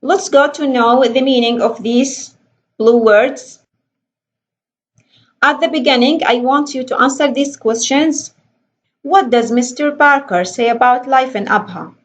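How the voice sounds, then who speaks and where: A woman teaching an English lesson, explaining slowly and deliberately and reading questions aloud. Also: high voice. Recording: dull lo-fi voice recording.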